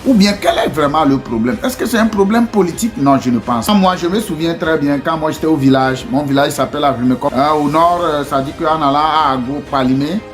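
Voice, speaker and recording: A man's voice: on the high side, brisk, hardly any pauses, faint music behind. A man from Togo with a Togolese French accent, giving a casual motivational talk to his community, hesitant with many fillers and restarts, then pressing with earnest rhetorical questions. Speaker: entrepreneur addressing his community.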